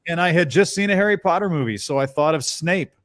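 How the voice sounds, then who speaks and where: A middle-aged man with a bass-baritone voice, a voice actor chatting as a guest in an interview and telling stories with lively bits of character voices. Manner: animated storyteller, tosses in quick character voices. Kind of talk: casual interview chat.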